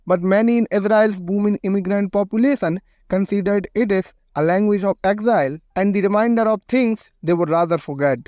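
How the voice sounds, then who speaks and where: A man giving scripted documentary narration in a steady, measured read, his phrases falling at the ends. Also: sits high, dry recording, muffled recording.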